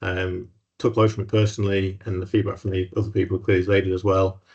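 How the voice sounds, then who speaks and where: Man, very deep voice, husky, friendly host, dull lo-fi audio. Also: flat delivery, fairly quick, a little mellow. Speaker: workshop host. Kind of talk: hosting an online workshop.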